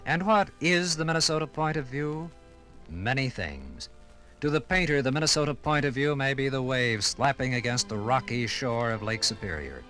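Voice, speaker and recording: Bright-voiced American man, solemn radio narrator. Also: mid-range voice, big pitch swings, normal speed, faint music behind. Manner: solemn, declamatory, earnest. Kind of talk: 1950s radio documentary narration, scripted and dramatic.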